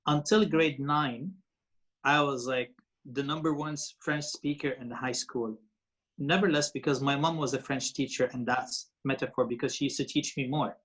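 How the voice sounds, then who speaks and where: A man's voice: medium pitch, steady tone, moderate pace, fairly bright tone, husky, low-quality recording. A man in his forties who grew up in Lebanon and speaks Arabic as his first language. He is a radio presenter and TV host, telling stories as an interview guest in a rambling way full of fillers and restarts. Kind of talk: interview guest, casual storytelling.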